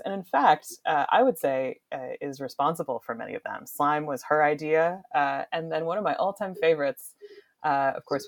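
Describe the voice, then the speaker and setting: A woman being interviewed as a podcast guest, talking casually and with enthusiasm, often halting to find her words. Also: very deep voice, creaky. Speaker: podcast guest, book marketing director.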